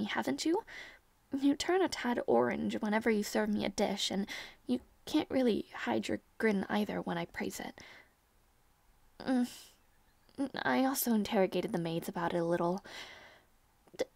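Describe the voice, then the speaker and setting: A woman voicing a proud young princess who teases, stammers when flustered and sometimes drops to a soft whisper, in an audio roleplay. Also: fairly high pitch, creaky, dry recording, full character voice. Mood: playful.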